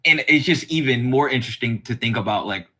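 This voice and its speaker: A man's voice: medium pitch, animated, fairly quick, pushed and bright. A man hosting a casual podcast chat, talking in a rambling way that circles around his points. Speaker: podcast host.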